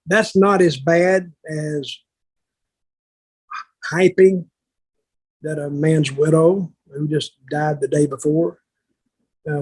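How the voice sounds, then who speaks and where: An older man with a husky voice telling stories casually on a podcast, blunt and plainspoken, halting and repeating words. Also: a little high, a little mellow. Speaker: podcast co-host, wrestling veteran.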